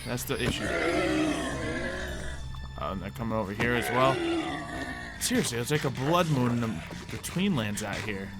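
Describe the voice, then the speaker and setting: A man talks through a video game in a casual, mild voice at an average pitch. He thinks out loud haltingly, with game music clearly playing behind him. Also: expressive. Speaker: gamer playing a Minecraft roleplay series. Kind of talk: casual gameplay commentary.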